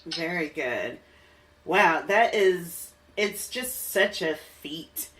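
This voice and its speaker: A man's voice: a little high, expressive, extremely slow, a bit bright. Guy hosting a chatty interview, supportive, reflective, bit far from mic. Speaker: podcast host, interviewer. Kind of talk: casual interview chat.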